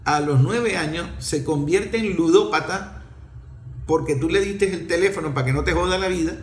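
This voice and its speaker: Venezuelan man, middle-aged, slightly high voice, emphatic and scolding, impassioned commentary, echoey room. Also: slight background noise. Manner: emphatic, scolding, rhetorical questions. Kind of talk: impassioned opinion talk.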